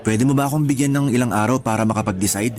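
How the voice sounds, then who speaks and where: Actor as courteous, earnest male date, measured radio drama delivery, average pitch, light music bed. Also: a little mellow, lightly acted. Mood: serious. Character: polite, mostly serious man on a first date. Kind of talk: Tagalog radio drama dialogue. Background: background music.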